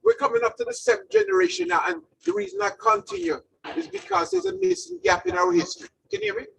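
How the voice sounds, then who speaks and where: Gravelly older man, rambling speech. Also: extremely high pitch, very animated, fast, a bit bright, a little hiss or hum. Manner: rambling, repeats himself, jokes. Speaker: award recipient. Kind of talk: acceptance speech. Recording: distant mic in a hall.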